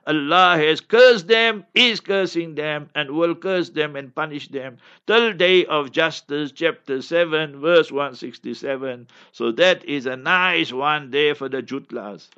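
Male cleric, scornful and blunt commentator, sharp forceful voice pitched a little high, slightly muffled lo-fi recording. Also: measured pace, hardly any pauses. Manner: acts out quotes and rhetorical questions. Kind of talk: fiery political commentary with religious quotations. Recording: dull lo-fi audio.

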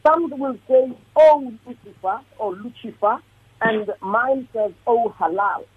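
Husky voice over a phone line. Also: medium pitch, slow pace. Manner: earnest and emphatic, restarts phrases while thinking. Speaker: panelist on a live religious talk show. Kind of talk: preaching-style discussion, reading scripture aloud.

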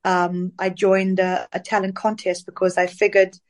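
A middle-aged woman from Zambia, an interview guest, talking through her career in a relaxed, reflective way with many fillers. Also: mid-range voice, moderate pace. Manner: warm, reflective storytelling with fillers. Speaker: interview guest, dance singer. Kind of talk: casual interview conversation.

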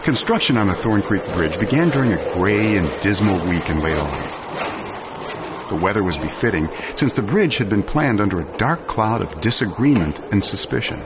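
A man narrating a story for a radio drama in a measured, theatrical way. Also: fairly low pitch, long reverb tail, background noise.

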